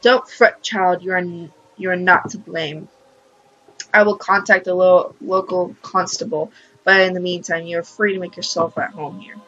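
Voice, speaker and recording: A woman's voice: on the low side, fairly even intonation, moderate pace, a bit bright, muffled recording. A woman voicing a kindly, consoling male priest in a visual novel read-aloud. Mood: reassuring.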